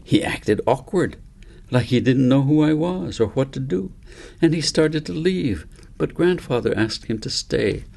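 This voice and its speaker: A man's voice: mid-range voice, animated, measured pace, vocal fry, darkish tone, voice pushed up. A man voicing an earnest, precocious five-year-old girl in a storybook, telling her dreams in articulate, wordy sentences. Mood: puzzled. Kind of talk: storybook character reading.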